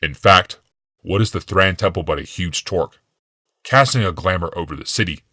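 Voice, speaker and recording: Male narrator as cool persuasive doctor, low voice, measured, fantasy audiobook villain rival. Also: bright, forceful tone, no echo, studio quality. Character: composed, persuasive male physician, rival to the husband.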